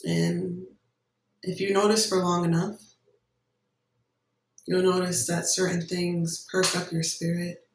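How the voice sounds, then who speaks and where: A meditation guide giving gentle, unhurried instructions with long pauses between phrases. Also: mid-range voice, flat delivery, slight background noise. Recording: roomy echo, distant microphone.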